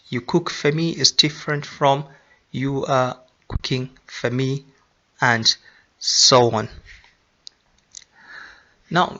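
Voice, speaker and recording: Slow, deliberate male English teacher. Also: medium pitch, sharp tone. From Nigeria. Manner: repeats example sentences slowly, with filler ums. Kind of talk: slow, deliberate grammar lesson. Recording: dull lo-fi sound.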